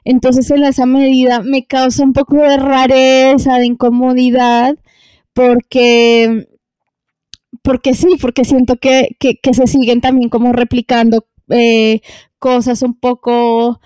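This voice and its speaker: A woman's voice: high voice, little pitch movement, a little slow, a little mellow, clear, resonant tone, no room sound. A woman talking on a podcast in a casual way, hesitant and searching for words, with many fillers. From lived in Colombia.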